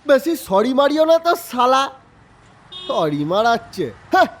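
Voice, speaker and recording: Male actor as irate jogger, very high forceful voice, curt exclamatory scolding, crude, audio story. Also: very animated, measured pace, a little hiss or hum, exaggerated voice. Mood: irritated, alarmed. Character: angry, foul-mouthed male jogger snapping at a stranger.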